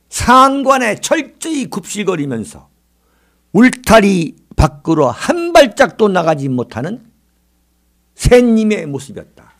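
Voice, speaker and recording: Animated, high-pitched man in his sixties. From South Korea. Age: 60s. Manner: oratorical, dramatic emphasis with rhetorical questions. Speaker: political commentary show host. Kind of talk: political commentary monologue.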